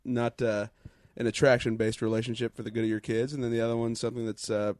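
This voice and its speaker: A man of medium pitch trading casual podcast banter. He delivers it deadpan, and the recording is close with very little echo. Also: fast. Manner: deadpan, dry humor. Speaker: podcast co-host.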